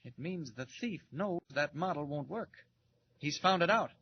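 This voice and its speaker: A man's voice: on the high side, expressive, moderate pace, husky. A man playing a mild-mannered reporter with a secret heroic side in a 1940s radio serial, often hesitant and flustered. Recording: dull old radio transcription with hiss.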